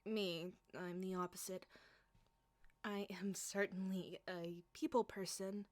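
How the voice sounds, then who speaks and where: Soft-spoken teasing woman, slow and close. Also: medium pitch, flat delivery, dry recording. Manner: playful, teasing, often whispering close to the mic. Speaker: voice actress performing an audio roleplay. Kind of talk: flirty ASMR roleplay.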